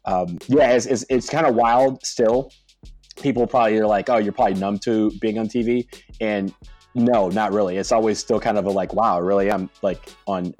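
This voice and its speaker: An American man talking casually as a podcast guest, telling animated stories and laughing often. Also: lowish voice. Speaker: podcast guest, soccer supporter leader. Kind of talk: casual conversation.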